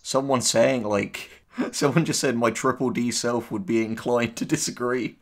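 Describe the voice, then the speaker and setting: A man's voice: mid-range voice, fairly even intonation, moderate pace. A man co-hosting a casual reaction commentary, reading posts aloud and answering them with sarcastic, sweary remarks. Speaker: co-host, writer.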